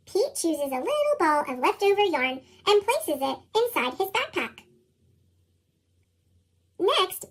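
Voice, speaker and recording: An American woman reading a children's storybook expressively, her voice processed into a chipmunk effect. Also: a bit bright. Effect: sped-up chipmunk voice, pitched very high. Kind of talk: expressive scripted read-aloud narration for young children.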